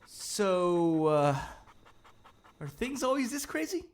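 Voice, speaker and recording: Man doing a high, bemused newcomer. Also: very animated, slow pace, heavily acted. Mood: hesitant. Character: bemused, hesitant newcomer to a strange world. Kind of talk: acted audiobook dialogue.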